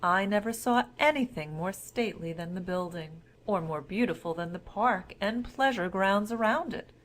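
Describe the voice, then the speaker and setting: A woman's voice: mid-range voice, animated, moderate pace, a bit bright, natural voice. A woman voicing a gracious, admiring king in a storybook, with a formal, stately, complimentary manner.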